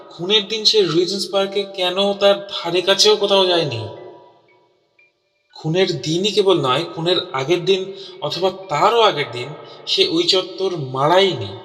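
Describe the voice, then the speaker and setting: Guy playing detective's earnest sidekick telling a mystery, slightly high bright voice, dramatic audio story. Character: earnest, puzzled male companion of a detective, narrating the mystery. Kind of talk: dramatic first-person audio story narration. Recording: distant voice in long hall reverb.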